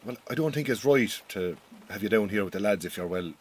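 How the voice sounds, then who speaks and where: Radio drama: Irish farmer boss, lowish voice, very animated intonation, awkward, well-meaning, blunt yet hesitant. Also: male voice, brisk, husky. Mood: awkward, friendly. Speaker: actor in an audio drama.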